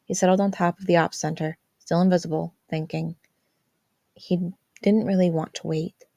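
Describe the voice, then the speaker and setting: A woman's voice: on the low side, steady tone, normal speed, gravelly, dark tone, no echo. A woman reading fan fiction aloud as an amateur audiobook narrator.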